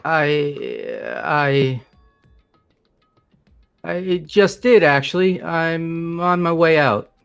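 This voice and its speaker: Flustered, evasive young man for a game, acted by a man: creaky voice, very slow halting delivery. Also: on the high side, a bit bright, soft background music, exaggerated voice. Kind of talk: amateur game voice acting.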